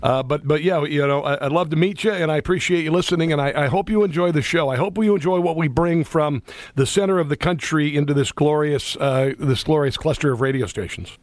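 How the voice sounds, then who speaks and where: Talk radio host, man in his fifties, fairly high voice, chummy and rambling, dry studio mic. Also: fairly quick, no room sound. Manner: rambling, chummy, laughs often. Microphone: studio microphone.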